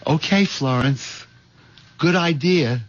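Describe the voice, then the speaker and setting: An actor playing a meek, middle-aged American husband who hesitates and stammers, in the style of a seventies radio mystery drama. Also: male voice, fairly high pitch, slow pace. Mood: nervously eager. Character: meek, henpecked middle-aged husband nervously plotting murder. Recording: dull vintage radio broadcast, music behind.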